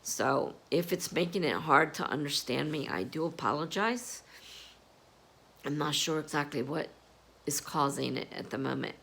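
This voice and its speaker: A woman with a froggy, hoarse voice chatting casually about her writing plans, rambling with plenty of fillers. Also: deep voice, fairly bright tone. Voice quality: gravelly. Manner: slow, rambling, with many fillers. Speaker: writer vlogging about novel prep. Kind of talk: casual chat to camera. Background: air conditioner humming.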